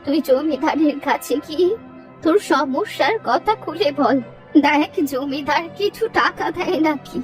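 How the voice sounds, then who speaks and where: Woman voicing a high-pitched kindly old village granny. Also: unhurried, distant mic, faint music behind. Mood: caring, coaxing. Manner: homely, motherly, exclamatory. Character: kind old village granny, sheltering and advising. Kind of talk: Bengali moral-story cartoon dub.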